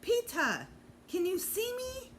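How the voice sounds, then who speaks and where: A woman's voice: very high voice, huge pitch swings, slow, fairly bright tone, voice pushed up, exaggerated voice. A woman voicing an eager, blunt, boastful little kindergarten boy from a children's storybook, over background noise. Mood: calling out.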